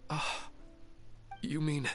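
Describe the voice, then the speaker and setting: An actor playing an anxious, bewildered father in a video game, halting and dramatic as he speaks. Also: a bit bright, faint music behind. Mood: confused, dawning. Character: anxious, bewildered father in anguish over his daughter. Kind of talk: scripted video game voice acting.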